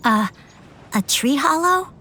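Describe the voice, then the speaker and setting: A woman's voice: high voice, expressive, slow, pushed and bright, heavily acted. A woman voicing a shy, sincere little boy in a video game, speaking hesitantly.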